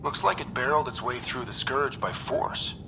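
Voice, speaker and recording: A man acts out a young human pathfinder leading a space expedition in a video game. He is steady and determined, with a medium-pitched male voice. There is clear ambient noise behind him. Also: moderate pace, lightly acted. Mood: serious. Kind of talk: video game cutscene acting.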